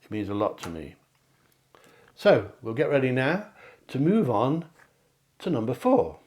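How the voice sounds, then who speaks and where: A man's voice: medium pitch, huge pitch swings, unhurried, a little mellow. A man giving a card reading straight to the viewer, repeating phrases for emphasis as a psychic host. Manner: repeats phrases for emphasis, addresses listener directly. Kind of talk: tarot reading addressed to viewers. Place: at home.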